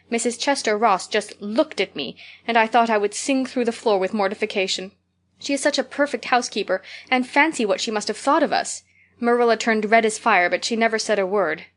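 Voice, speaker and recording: A woman's voice: medium pitch, natural voice. Brief: woman reader voicing a dreamy, dramatic little girl, pouring out tales in a gushing rush. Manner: gushing, breathless storytelling. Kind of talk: audiobook character reading.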